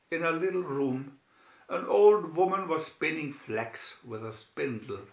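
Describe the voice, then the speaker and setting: A man reading a fairy tale aloud as a scripted narration, in a deliberate manner. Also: medium pitch. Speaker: storybook reader. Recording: dull, roomy amateur recording.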